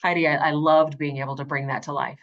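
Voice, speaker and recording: A woman's voice: deep voice, little pitch movement, quick pace, dull audio. A woman speaking as an audiobook narrator being interviewed, earnest and effusive, repeating phrases for emphasis.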